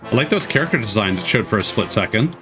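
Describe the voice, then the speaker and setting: A man's voice: fairly low pitch, expressive, fast, music in the background. A man giving casual live commentary as a streamer reacting to a games showcase.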